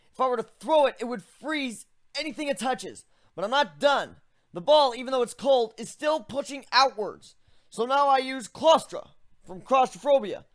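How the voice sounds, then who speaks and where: A man's voice: very high-pitched, pitched above the performer's own voice. A man voicing an eager young griffin mage. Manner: excited lecturing, spell words chanted slowly. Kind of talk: dramatic fan reading.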